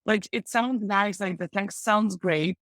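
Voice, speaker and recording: Woman guest talking shop on a podcast, chatty and excited, lots of "like", mid pitch, dry sound. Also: measured pace, no echo. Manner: chatty, enthusiastic, full of "like" fillers. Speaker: podcast guest, e-commerce translation expert. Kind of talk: casual advice-giving interview talk.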